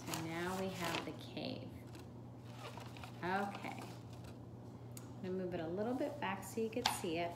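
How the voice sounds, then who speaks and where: A woman in her forties giving a storybook read-aloud and craft demonstration in a deliberate, instructional way, recorded in a home kitchen from a step or two away. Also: on the low side. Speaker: teacher reading a picture book aloud. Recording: echoey room with clear background noise.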